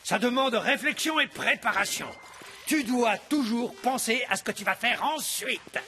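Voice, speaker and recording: A man voicing a proud, excitable science-minded gamer in a cartoon, speaking in a pompous manner. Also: extremely high pitch, unhurried, faint music behind, exaggerated voice.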